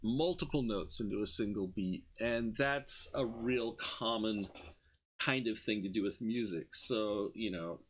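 Low-voiced man giving an informal lecture. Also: measured pace, background noise. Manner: conversational, self-questioning explanatory delivery. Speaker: university music lecturer. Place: indoor room, screen-recorded lecture with webcam.

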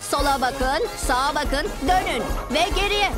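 Very high-voiced boy superhero, played by a woman. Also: lively intonation, a little slow. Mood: confident, commanding. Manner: rallying, commanding. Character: confident, eager six-year-old boy superhero leader. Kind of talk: children's cartoon dubbing. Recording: loud music behind the voice. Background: loud cartoon music.

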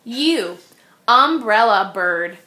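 A woman reads rhymes from a children's picture book in a bright, forward voice. She takes her time, with a very expressive, sing-song storyteller's delivery that swings widely in pitch. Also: very slow. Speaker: read-aloud storyteller for young children.

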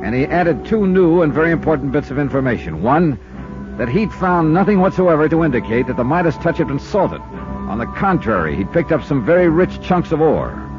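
An American man playing a cool, wry insurance investigator who narrates his case in crisp 1950s radio detective style. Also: fairly high pitch, very animated, a little fast. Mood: cool, matter-of-fact. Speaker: lead actor and first-person narrator. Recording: dull 1950s radio recording, music behind.

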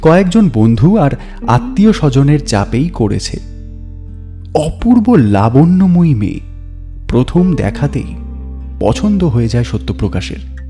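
A male storyteller with a mellow, dark voice reads at a very unhurried pace. His pitch moves expressively through dramatic, atmospheric narration with measured pauses, over faint music. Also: sing-song.

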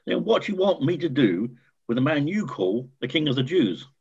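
An older man playing a questioning Roman governor in a dramatized scripture reading, with a husky voice. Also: on the high side, sing-song, moderate pace, a little mellow, heavily acted. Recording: dull lo-fi video-call audio.

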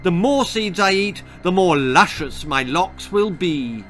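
A man's voice: sits high, lively intonation, unhurried, a bit bright, soft background music, voice pushed up. A man voicing a vain, bossy little girl in a children's story, screaming and scornful.

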